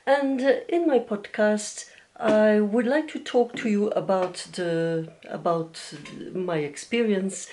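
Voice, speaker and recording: Woman, middle-aged, lowish voice, slow, hesitant with fillers, knitting podcast host. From Belgium. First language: Dutch. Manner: gentle, hesitant, many fillers and small restarts, a little nervous. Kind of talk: casual video podcast chat. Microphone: camera mic.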